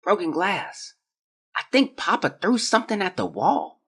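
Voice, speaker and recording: An actor playing a sick, frightened young child reading out a diary in a plaintive, hesitant, husky voice. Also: mid-range voice, sing-song, a little slow, fairly bright tone, heavily acted. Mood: weary, upset. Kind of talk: dramatic diary monologue.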